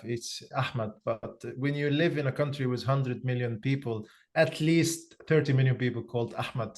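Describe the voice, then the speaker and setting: A man from Egypt in his thirties with an Egyptian accent, co-hosting a podcast in casual chat, halting and repeating words as he starts sentences. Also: medium pitch. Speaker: podcast co-host.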